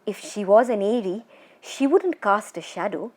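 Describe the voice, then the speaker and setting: A woman storyteller narrating a children's horror story in an expressive, suspenseful, dramatic way. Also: medium pitch, normal speed.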